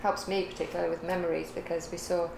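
A woman's voice: fairly low pitch, level tone, fast talker. An older woman chatting casually as a livestream guest, rambling thoughtfully and correcting herself as she goes. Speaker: guest, the host's mother. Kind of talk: casual chat on a craft livestream. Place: living room or home craft room. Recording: echoey room, clear background noise.

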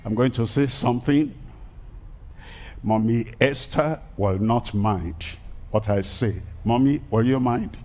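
A man's voice: medium pitch, takes it slowly. An older man with a Nigerian accent preaching an emphatic crusade sermon into a headset mic on a large outdoor stage. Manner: emphatic preaching, rising to shouted declarations. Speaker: pastor.

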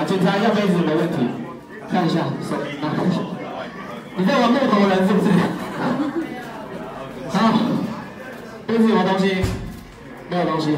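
A young man performing a magic show for children, coaxing and playful, counting out loud with exaggerated energy. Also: high-pitched, distant mic. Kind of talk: live magic show for kids. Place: small party room at a cafe, indoors. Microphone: far camera, no mic. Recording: echoey room, recorded from afar. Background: loud music and crowd of kids.

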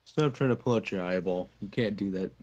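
A man voicing a jaded, sarcastic cartoon circus resident in an amateur fan dub, halting, on a muffled mic. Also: low-pitched, darkish tone. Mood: dismissive explaining.

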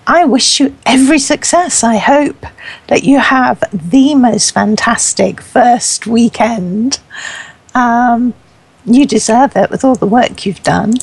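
A woman's voice: fairly high pitch, takes it slowly, creaky, husky, rough recording. A woman interviewer conducting a friendly event interview in a complimentary, encouraging way. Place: online voice chat in a virtual world.

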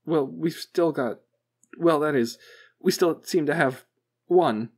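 Man doing a high, panicky, stammering technician. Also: slow pace, breathy edge. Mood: flustered. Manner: stammering, flustered. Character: panicky, stammering junior technician, wide-eyed and flustered.

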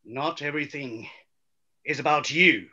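A man's voice: on the high side, unhurried, bright, forceful tone, exaggerated voice. A middle-aged man playing a stern, dismissive father in a table read. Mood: stern, exasperated. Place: room at home, on video call. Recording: dull, rough video call audio.

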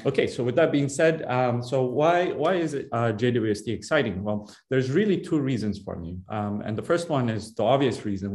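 A man's voice: mid-range voice, darkish tone. A young man from Ethiopia, a grad student, giving a friendly seminar talk over a video call with frequent fillers.

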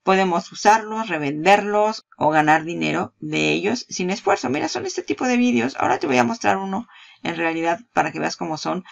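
A woman's voice: medium pitch, lively intonation, brisk, creaky, dull audio. A woman giving a casual, chatty walkthrough of a product page, talking straight to the viewer and laughing at herself. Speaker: marketing YouTuber.

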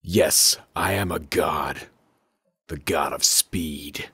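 A man voicing a menacing, godlike speedster villain, declaiming grandly and slowly in a husky, rumbling voice. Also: bright, forceful tone, soft background music, full character voice. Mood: grandiose menace.

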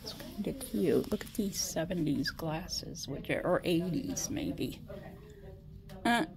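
A woman's voice: on the low side, animated, slow pace, vocal fry, crowd noise, low-quality recording. A woman with a Midwestern American accent vlogging casually as she shops, chatty and often dropping to a whisper, filmed on a handheld phone in a large indoor store. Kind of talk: casual shop-with-me chat. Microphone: handheld phone camera.

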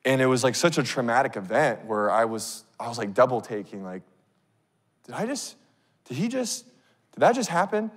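A man in his thirties preaching on a church stage into a handheld mic, telling a story in a casual, animated way. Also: mid-range voice, moderate pace. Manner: animated, acts out scenes, casual fillers. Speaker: pastor. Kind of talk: sermon storytelling. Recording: long reverb of a big hall.

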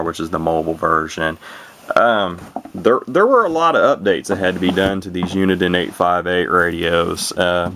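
A man rambling casually to camera in a flat, monotone way, with many fillers and restarts, and words run together. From Illinois, USA. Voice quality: low gravelly voice, talks monotone. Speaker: YouTuber showing vintage radios. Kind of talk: casual unscripted talk to camera.

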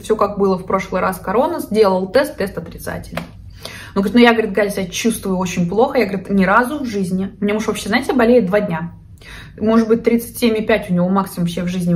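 A woman in her thirties chatting casually to the camera from her living room, talking expressively and gesturing with her hands. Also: medium pitch. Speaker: vlogger, psychologist. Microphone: camera at desk distance.

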